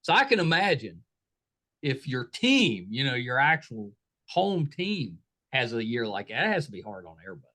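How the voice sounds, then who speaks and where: A man's voice: medium pitch, big pitch swings, moderate pace, bright, forceful tone. A man hosting a podcast, chatting casually and in a friendly way with his guest. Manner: friendly, chatty, self-deprecating. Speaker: podcast host. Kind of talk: casual interview chat.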